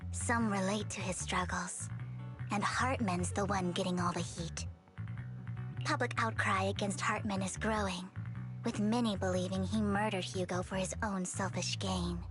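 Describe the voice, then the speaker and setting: A woman's voice: medium pitch, fairly even intonation, normal speed, lightly acted. A woman voicing a sweet, devoted and formal young woman in a video game, polite and earnest. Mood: serious briefing. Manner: polite, earnest, cutesy delivery. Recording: clean game dialogue with music behind.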